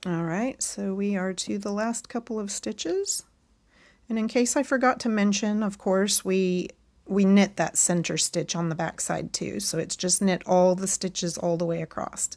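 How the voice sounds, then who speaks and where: A woman hosting a casual knitting tutorial, chatting along as she knits and a bit hoarse from losing her voice. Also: mid-range voice, unhurried, darkish tone. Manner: chatty and friendly, talks while knitting.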